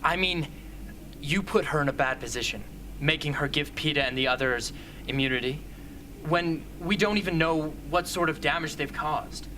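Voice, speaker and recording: A man's voice: a little high, moderate pace, close to an everyday voice. A man acting in an amateur radio drama as an earnest, stubborn young rebel hunter. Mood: firm, defensive. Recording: faint background noise.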